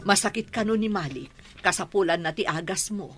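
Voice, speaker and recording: A woman's voice: medium pitch, fairly quick, fairly bright tone, soft background music, lightly acted. A woman playing an obliging, respectful village mother in an Ilocano radio drama. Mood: explaining.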